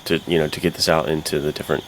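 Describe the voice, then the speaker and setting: A man's voice: extremely low pitch, little pitch movement, very fast, slight background noise. A man talking as a podcast co-host in an earnest, informal, conversational way. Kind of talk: casual podcast chat.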